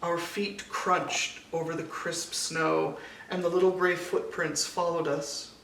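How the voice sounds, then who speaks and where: A man reading a children's picture book aloud in a measured storytelling way, with deliberate pauses. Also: on the high side, little pitch movement, creaky, fairly bright tone. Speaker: storybook reader. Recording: echoey room, distant mic, faint noise.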